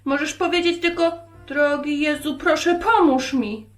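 A woman voicing a kindly old grandmother who gently teaches her granddaughter in a children's story. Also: high-pitched, a little slow, voice pushed up. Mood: earnest advice. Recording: roomy echo, music behind.

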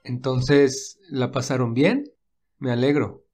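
A man voicing a gentle, protective young man who speaks calmly and reassuringly in a read-aloud story. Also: medium pitch, takes it slowly, faint music behind. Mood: calm caring. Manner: calm, reassuring. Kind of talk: audiobook dialogue reading.

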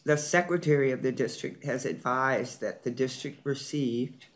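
A man's voice: mid-range voice, normal speed. A man serving as board president, reading agenda items and calling motions and votes in a formal meeting. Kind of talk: formal procedural meeting chairing.

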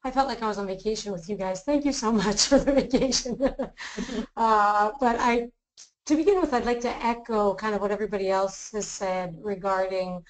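A woman speaks in an upbeat, lively way on a webinar. She talks as a tour manager and laughs easily between points. Her pitch sits in a middle range. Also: moderate pace, low-quality recording. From Greece, raised in the US. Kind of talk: webinar talk about travel.

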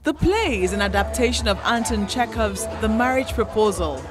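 Higher-pitched Nigerian woman reporter. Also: animated, normal speed, music in the background. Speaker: arts programme reporter. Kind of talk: scripted narration.

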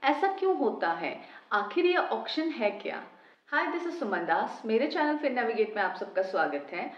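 A woman hosting a finance channel, giving a steady, step-by-step scripted explanation. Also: a little high, moderate pace. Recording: roomy, slightly dull home recording.

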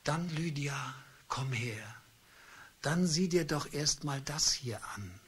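A bitter husband in an old radio drama, laying out his accusations coldly and deliberately. His voice sounds very husky and rough. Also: on the high side, animated, fairly bright tone, heavily acted. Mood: coldly accusing. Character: bitter middle-aged husband turned cold avenger. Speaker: husband Charles. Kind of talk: radio play acting.

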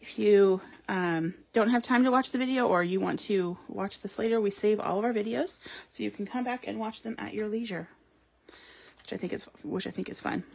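A woman's voice: medium pitch, moderate pace, vocal fry, a little mellow, husky. A woman giving a live card-making demonstration, chatting and explaining as she works, with frequent ums. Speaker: craft store demonstrator. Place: craft table at home or in a studio.

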